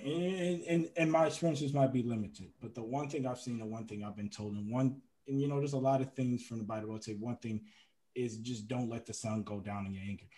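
A young man co-hosting a podcast, talking casually and laughing often, with hesitant restarts when he turns reflective. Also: mid-range voice. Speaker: podcast co-host. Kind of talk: casual conversational debate.

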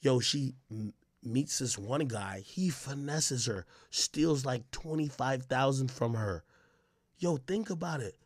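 A man's voice: medium pitch, slow pace, husky, dry recording, clean studio sound. A man hosting an unscripted reaction podcast, talking in a brash, slangy, profane and emphatic way. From Jamaica. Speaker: podcast host and commentator. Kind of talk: unscripted reaction commentary, casual streamer talk.

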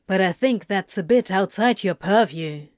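A woman's voice: mid-range voice, moderate pace, dry recording, lightly acted. A woman voicing a sardonic, protective male demon in an audiobook story, with a dry, grumbling delivery. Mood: dry, scoffing. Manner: dry, muttering, deadpan.

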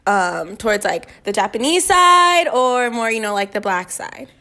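Higher-voiced American woman interviewing casually. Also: very animated, a little slow, sharp tone. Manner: informal, loosely phrased questions, frequent affirmations.

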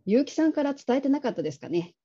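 A woman playing a polite, caring call-centre manager in a radio drama, gentle and measured, on slightly dull audio. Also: high-pitched, big pitch swings, breathy edge, full character voice. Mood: earnest, measured.

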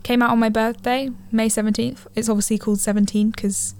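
A teenage girl hosting a solo podcast from her living room on a handheld mic, chatting casually, rambling and correcting herself, her voice a little gravelly from a cold. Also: a little high, flat delivery, brisk, darkish tone. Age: teens. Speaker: podcast host. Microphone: handheld studio mic.

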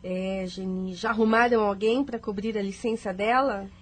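A woman's voice: medium pitch, steady tone, normal speed, close to an everyday voice. An actress playing an impulsive, pleading, lovestruck office woman in an emotive radio soap style. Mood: brisk, uneasy.

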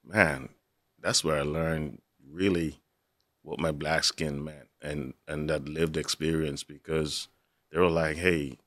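A man's voice: extremely low pitch, fairly even intonation, takes it slowly, a bit bright, no room sound, clean studio sound. A middle-aged man from the Bahamas, earnestly telling his personal story as an interview guest. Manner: reflective, earnest, with easy laughs. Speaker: university assistant director, guest.

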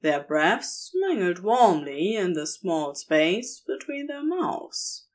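A woman's voice: lowish voice, big pitch swings, slow pace. A woman narrating romantic fiction from a script as a storyteller.

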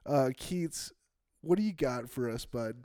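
Man, mid pitch, dry close sound, podcast host, joking, teasing. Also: lively intonation, measured pace, dark tone, dry recording. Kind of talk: casual podcast chat.

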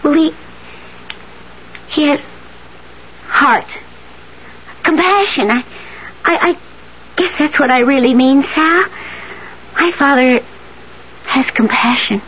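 An actress playing an earnest young woman, a devoted daughter, in a 1970s radio drama, speaking haltingly and sincerely. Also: sits high. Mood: earnest, wistful. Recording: dull old radio with faint hiss.

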